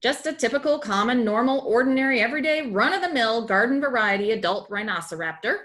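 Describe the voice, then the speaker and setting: A woman voicing comic-book monster characters who talk through math in expressive, emphatic storybook dialogue. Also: fairly high pitch, quick pace. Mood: emphatic explaining.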